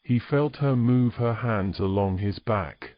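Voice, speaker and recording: A man's voice: fairly low pitch, takes it slowly, dry recording, muffled recording. A man narrating a scripted story as the narrator of an audio drama.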